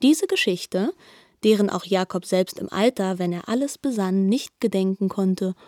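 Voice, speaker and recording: Woman as composed storyteller, polished literary narration, mid pitch, dry close sound. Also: lively intonation, normal speed, dark tone, no echo, natural voice. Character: composed female storyteller of an ancient tale.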